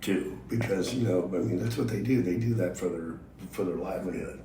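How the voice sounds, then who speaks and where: A man who plays bass, chatting as a podcast guest in a loud, joking way with some swearing. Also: a little hiss or hum. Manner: loud, jokey, talks fast and with swearing. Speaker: guest musician, bass player. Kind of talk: casual chat. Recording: roomy, off-mic.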